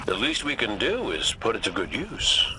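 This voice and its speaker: A man's voice: fairly low pitch, big pitch swings, moderate pace, noisy background. An actor playing a cocky, easygoing robot gunslinger in a video game, wry and flippant in his delivery. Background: game music.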